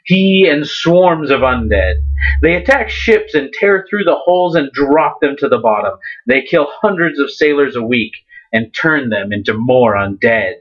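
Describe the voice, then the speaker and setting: A man voicing a towering, jovial yet ferocious sea captain in a slow, declamatory storybook reading. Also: medium pitch, animated, close to an everyday voice. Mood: grim. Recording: dull lo-fi recording.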